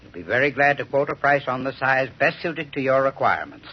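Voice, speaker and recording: A man's voice: mid-range voice, lively intonation, a little fast, slightly rough. An American man reading a scripted sponsor message in 1930s radio advertising style. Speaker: sponsor spokesman. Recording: dull old radio recording.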